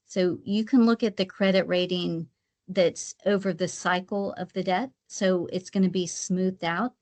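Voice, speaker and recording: A woman's voice: lowish voice, fairly even intonation, a little slow, darkish tone. A woman giving an explanatory webinar presentation step by step, sometimes repeating her phrases as she goes. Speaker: guest presenter, credit rating specialist.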